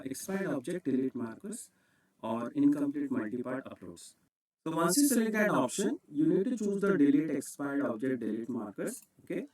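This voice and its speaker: Distant-miked Indian-accented man teaching software. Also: mid-range voice. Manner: instructional, repeats "okay" as a check. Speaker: tutorial presenter. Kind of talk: step-by-step software screencast tutorial.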